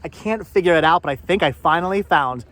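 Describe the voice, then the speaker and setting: A middle-aged man hosting a casual thrifting vlog, chatting haltingly and often repeating his words. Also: a little high, expressive, a bit bright. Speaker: vlog host. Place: out and about at flea market.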